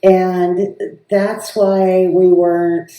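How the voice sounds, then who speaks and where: A woman's voice: fairly low pitch, little pitch movement, extremely slow, mellow. A woman casually explaining and telling anecdotes as a film mentor. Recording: echoey room, distant mic.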